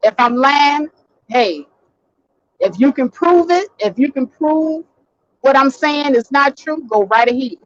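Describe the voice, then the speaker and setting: A woman hosting a casual live stream from her car, talking bluntly and swearing freely, getting a little hoarse. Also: sits high, animated, unhurried, dry recording, muffled recording. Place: in a vehicle, driving.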